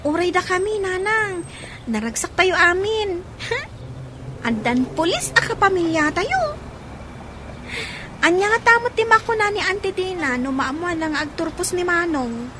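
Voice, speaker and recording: An actress playing a cheerful teenage younger sister who giggles often. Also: female voice, very high voice, expressive, a little slow, long pauses, sharp tone, slight background noise, full character voice. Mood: bubbly.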